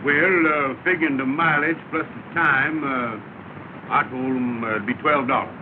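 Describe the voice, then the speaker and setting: Guy doing a wordy small-time con man, kinda high voice, persuasive, tinny old 1930 film. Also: fairly even intonation, moderate pace, background noise, close to an everyday voice. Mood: wheedling. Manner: persuasive, hedging, grandly wordy. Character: smooth-talking, scheming lodge leader, a small-time swindler. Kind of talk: 1930 film comedy dialogue.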